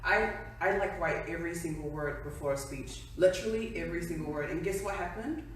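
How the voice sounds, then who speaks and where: A woman's voice: fairly low pitch, a little fast, far from the mic. Young woman speaking in an echoey hall. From New Zealand. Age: 20s. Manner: chatty, laughing, self-deprecating. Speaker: speaker at a company event. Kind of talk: personal story speech to an audience.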